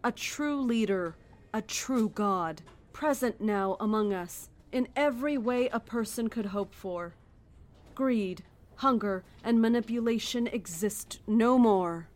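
An actress playing a serene, fanatical cult leader in a horror audio drama, speaking in a measured, solemn, preaching way. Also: female voice, a little high, a little mellow. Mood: calm zeal.

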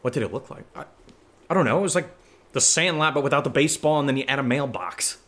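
A man's voice: mid-range voice, expressive, quick pace, a bit bright, lightly acted. An actor playing a nervous young man who rambles and stammers through a spooky story in a horror audio drama. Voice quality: hesitant, stammering, rambling with many fillers.

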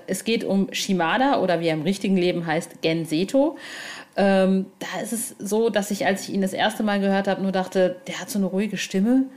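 A woman with a medium-pitched voice hosts a podcast. She talks informally and with gushing enthusiasm, as a fan would. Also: a little mellow. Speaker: podcast host and interviewer. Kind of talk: casual, enthusiastic podcast talk.

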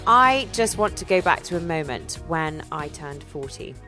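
A woman in her forties co-hosting a casual chat, telling personal stories in a candid, animated way. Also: low voice, moderate pace, soft background music. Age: 40s.

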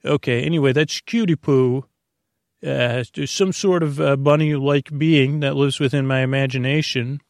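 A man hosting a bedtime podcast in a creaky voice, rambling, mumbling and stumbling through tangents. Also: medium pitch, steady tone, normal speed, no echo, studio quality. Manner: meandering, mumbling, stumbling over words with tangents. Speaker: podcast host.

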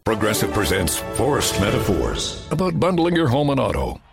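A man's voice: fairly low pitch, very animated, normal speed, gravelly, over music. A man reading scripted advertising copy as an announcer.

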